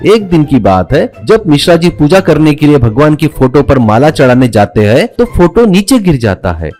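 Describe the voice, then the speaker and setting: A man's voice: fairly high pitch, big pitch swings, moderate pace, darkish tone, faint music behind. A man reading scripted narration for a cartoon story.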